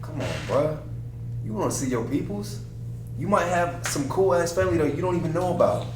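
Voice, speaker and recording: A man's voice: on the high side, expressive, fairly quick, close to an everyday voice. A man playing a brash, loyal young best friend in a screenplay table read, slangy and pushy. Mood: prodding. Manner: slangy, pushy, joking delivery. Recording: echoey room, distant mic, clear noise.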